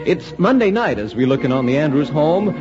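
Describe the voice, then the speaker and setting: A male American announcer in classic 1940s radio style, polished and emphatic. His fairly high voice moves with lively intonation, over prominent music on a muffled vintage recording. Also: fairly quick.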